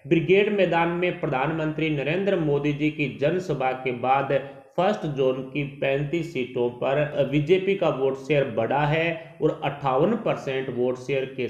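A man narrating a scripted report in a news style. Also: medium pitch. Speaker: narrator of an election survey report. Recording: dull, echoey voice-over recording.

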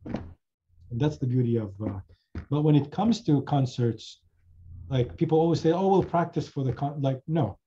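Man, chant instructor, dark mellow voice, rambling casual talk, dull lo-fi video call sound. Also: a little high, moderate pace. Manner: rambling, many fillers and restarts. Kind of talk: casual discussion in an online class.

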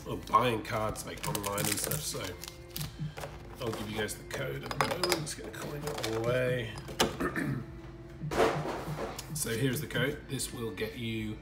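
A hoarse, croaky man hosting his card-opening channel in casual chat, often clearing his throat and pausing with fillers. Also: medium pitch, reverberant, recorded from a distance, very noisy. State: croaky, hoarse from screaming at a game. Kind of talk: casual unboxing commentary. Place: indoor room with collectibles on shelves.

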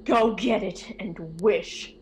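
A woman's voice: mid-range voice, takes it slowly, a little hiss or hum, natural voice. A woman playing an obsessive, grieving elderly mother in a radio horror drama, imploring and intense. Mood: feverish, demanding.

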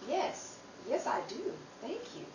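A woman's voice: very high voice, very animated, slow pace, full character voice. Woman as earnest young sister, old-time radio acting, distant mic, noisy roomy recording. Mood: awed.

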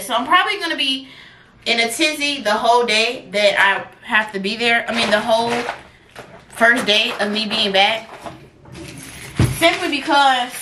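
A woman of about twenty with a fairly high voice talks casually to her viewers. She is slangy and a bit dramatic as she vents. The camera audio carries clear background noise. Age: around 20. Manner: animated, slangy, dramatic complaining. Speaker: vlogger. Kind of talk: casual chatty vlog to camera. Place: at home, then inside a car. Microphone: camera at arm's length or propped nearby. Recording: noisy camera audio with car hum.

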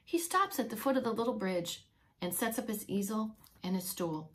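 A woman's voice: medium pitch, normal speed. A woman around her forties, a preschool teacher reading a picture book aloud expressively and explaining things to young children. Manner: expressive, stopping to explain words. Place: small indoor room with bookshelf and whiteboard.

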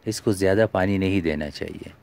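A man's voice: low voice, fairly quick, gravelly, darkish tone. A man, narrating a home gardening vlog as its host in a scripted voice-over.

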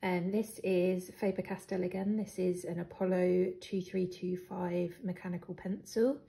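A young British woman showing her planner supplies in a casual, hesitant way, with a croaky voice and lots of ums. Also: on the low side, fairly even intonation, moderate pace. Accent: British English. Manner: hesitant, many ums, soft laughs. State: croaky, clearing throat. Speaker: planner vlogger. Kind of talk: casual show-and-tell. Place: living room with hard floor. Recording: echoey room, faint noise.

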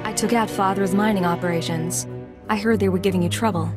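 An actress playing a cool, confident undercover agent in a video game, with a controlled, terse delivery. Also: female voice, mid-range voice, steady tone, a little fast, over music, natural voice. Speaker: game character voice actor. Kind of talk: video game cutscene acting.

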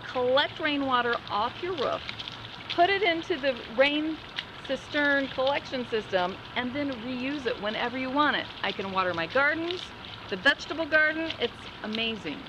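A woman in her thirties hosts a garden vlog straight to camera, bubbly and full of exclamations. Her voice sits a little high. The outdoor recording carries steady, clearly audible background noise. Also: moderate pace. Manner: enthusiastic, exclamatory. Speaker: vlog host. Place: outdoor garden beside a house.

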